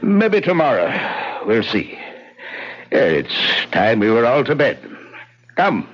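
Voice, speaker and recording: An actor playing a sly, bossy Irish innkeeper and gun-runner in a radio drama, with a husky, folksy, commanding delivery. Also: male voice, medium pitch, sing-song, slow pace. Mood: folksy, blunt. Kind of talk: old-time radio drama acting. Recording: dull, muffled old radio recording.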